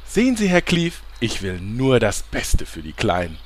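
A man's voice: mid-range voice, huge pitch swings, normal speed, a little hiss or hum. A man playing a pushy, talkative film promoter in a parody radio play, wheedling and dramatic.

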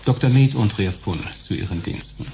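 An actor playing an unctuous elderly undertaker in a radio drama, formal and pompous, with hushed professional sympathy. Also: male voice, on the low side, animated, moderate pace, slight background noise. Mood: smoothly solicitous. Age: older. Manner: oily, formal, pompous salesman.

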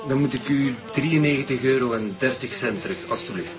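A man's voice: medium pitch, a little fast, over loud music, lightly acted. A man playing a subdued petrol station clerk in a radio drama, terse, with a Flemish accent. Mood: polite. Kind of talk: naturalistic radio drama acting.

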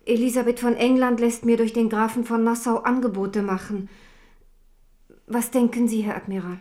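A woman's voice: fairly high pitch, level tone, moderate pace, dark tone, lightly acted. An actress in a German radio play playing a proud, devout Protestant queen and protective mother, fervent and stern. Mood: grave, solemn.

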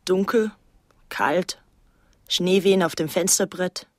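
An actress playing a solitary, watchful island woman, narrating a literary radio drama in a measured, restrained and introspective way. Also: on the low side, no room sound, clean studio sound. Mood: guarded, quiet.

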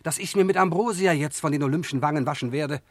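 An actor playing a cheeky, boastful, mocking messenger god in a classical verse radio drama, with grandiloquent delivery. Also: male voice, fairly high pitch, fast, no echo, studio quality.

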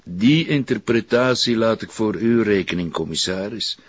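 Husky, guarded Flemish psychiatrist. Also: male voice, lowish voice. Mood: guarded, formal. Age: middle-aged. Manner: measured, formal, evasive. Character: guarded, formal middle-aged male psychiatrist, defensive. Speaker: actor. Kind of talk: television crime drama dialogue. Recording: dull, echoey room sound.

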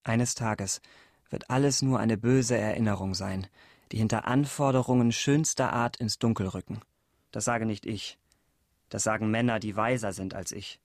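A man's voice: fairly low pitch, no room sound, natural voice. Earnest young man, close dry sound acted. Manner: solemn, measured. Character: earnest idealistic older brother, young village resistance man. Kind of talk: radio play acting.

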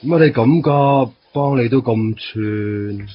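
A man's voice: medium pitch, takes it slowly, a little hiss or hum, lightly acted. A man playing an eager, easily offended young magazine worker in a Hong Kong Cantonese radio drama. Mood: indignant. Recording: muffled, music underneath.

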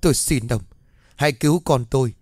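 A man's voice: mid-range voice, a little fast, darkish tone, dry recording, clean studio sound, lightly acted. A man voicing a desperate poor mother who pleads and wails for her sick son. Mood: pleading. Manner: wailing, pleading.

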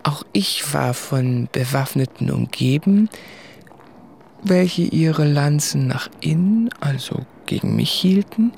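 An actor playing an anguished, introspective writer in a literary radio drama, confessing in a halting, brooding way. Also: male voice, on the high side, expressive, creaky, darkish tone, slight background noise, heavily acted. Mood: pained, brooding. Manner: slow, halting, brooding, with deliberate pauses.

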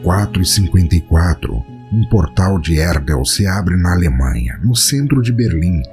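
A man's voice: deep voice, very animated, moderate pace, soft background music. A man with a Brazilian accent doing scripted storytelling and podcast hosting in a theatrical, florid manner. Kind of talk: scripted audio-drama narration and hosting.

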